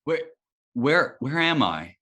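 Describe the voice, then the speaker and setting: A man's voice: medium pitch, very animated, slow, sharp tone, exaggerated voice. A man playing an earnest, brainy young rookie superhero with a hesitant manner, at a script table read. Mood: confused, shaken.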